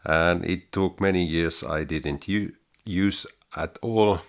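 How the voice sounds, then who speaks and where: A man speaks in a very deep, gravelly soft-bass voice, slowly and haltingly, pausing on many fillers. He sounds close and dry on a studio mic in a small home studio. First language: Finnish. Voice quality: very low baritone voice. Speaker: home-studio hobbyist, channel host. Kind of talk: casual gear demo vlog. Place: small home studio room under a sloped ceiling. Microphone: studio mic close up, headphones on.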